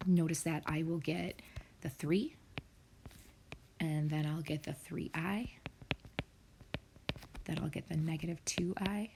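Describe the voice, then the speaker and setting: Low, gravelly woman teaching math. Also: background noise. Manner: explains step by step, often drops to a whisper. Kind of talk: recorded screen lesson for students.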